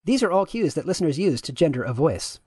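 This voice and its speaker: A man reading the lines of a courteous, gender-neutral AI chatbot, with his voice pitch-shifted upward. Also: very animated, fast, darkish tone, breathy edge, no room sound, clean studio sound. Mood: polite, agreeable. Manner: courteous, affirming, asks follow-up questions. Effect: pitch raised.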